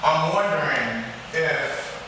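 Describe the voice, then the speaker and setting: A man from the Congo speaking up in the audience at a gallery talk, thoughtful and unhurried, often adding "right?". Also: a little high, lively intonation, noisy background. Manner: thoughtful, slow with tag questions. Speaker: audience member. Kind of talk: reflective comment from the floor. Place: art gallery. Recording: echoey gallery room, far from mic.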